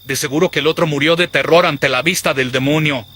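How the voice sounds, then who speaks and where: A man doing a bright-voiced, gossiping townsman. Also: fairly high pitch, fairly even intonation, brisk, pitched above the performer's own voice. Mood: grim gossip. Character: gossiping, fearful colonial townsman, knowing and grim.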